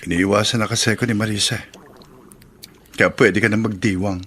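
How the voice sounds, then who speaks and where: Radio drama: an actor as a worried young lover, bright and forceful tone. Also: male voice, lowish voice, fairly even intonation, fairly quick, husky. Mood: troubled. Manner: pleading, earnest. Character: young man Jester, anxious abandoned lover.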